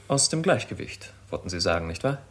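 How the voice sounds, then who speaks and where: A man's voice: sits low, big pitch swings, fairly quick, a bit bright, slight background noise, heavily acted. An actor playing a shrewd, composed and polite man in a 1960s German radio drama, articulate and analytical. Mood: thoughtful.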